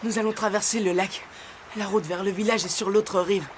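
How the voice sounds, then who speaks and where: A woman's voice: mid-range voice, fairly even intonation, normal speed, noisy background, lightly acted. A woman voicing a teasing, streetwise young boy with a slangy manner in a French cartoon dub. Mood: practical. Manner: cheeky, slangy.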